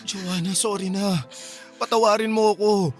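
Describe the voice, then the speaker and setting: A man's voice: high voice, steady tone, normal speed, soft background music, lightly acted. A man playing an obsessive, excuse-making young boyfriend in a Filipino radio drama. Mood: pleading.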